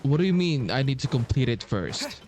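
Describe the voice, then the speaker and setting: Low-voiced woman gaming, very casual. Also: big pitch swings, normal speed, darkish tone, a little hiss or hum. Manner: informal, slangy, swears freely. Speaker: gaming streamer. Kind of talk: casual game playthrough commentary.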